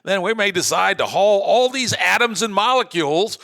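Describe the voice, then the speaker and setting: A man's voice: sits high, expressive, normal speed, creaky, fairly bright tone, no room sound, studio quality. A man hosting a podcast interview, chatting informally and illustrating ideas with homey examples. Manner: folksy, uses homey examples. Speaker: podcast host. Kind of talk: conversational interview.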